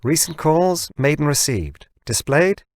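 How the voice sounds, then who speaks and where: A man plays a young woman who is a hologram assistant in an audiobook. The voice is lifted well up in pitch, smooth-toned and mellifluous. It is chirpy and obliging, recorded very dry. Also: expressive, measured pace, no room sound.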